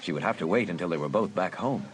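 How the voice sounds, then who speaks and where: A man narrating a suspense audiobook in a dramatic, deliberate storytelling manner. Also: deep voice, expressive.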